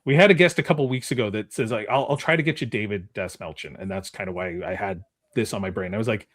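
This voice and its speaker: A man's voice: lowish voice, fast talker, a bit bright. A man hosting a podcast in casual chat, with an informal, rambling way of talking. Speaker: podcast host.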